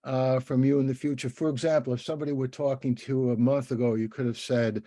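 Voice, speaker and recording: A man hosting a casual podcast interview, talking in a halting, rambling, encouraging way. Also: medium pitch, little pitch movement, mellow, dry recording. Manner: halting, rambling, with many repeated word starts.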